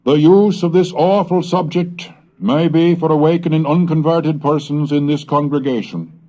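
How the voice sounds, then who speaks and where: A man's voice: a little high, warm tone, pitched above the performer's own voice. A man reading aloud as a fiery colonial preacher, solemn and emphatic, on a dull old cassette recording. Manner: solemn, rising, emphatic delivery.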